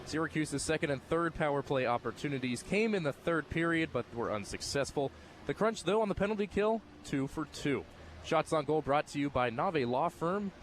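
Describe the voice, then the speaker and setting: Young adult American male sports announcer, voice a touch high, audible arena noise behind. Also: animated, normal speed. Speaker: sports broadcaster, play-by-play announcer and postgame radio host. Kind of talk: sports commentary.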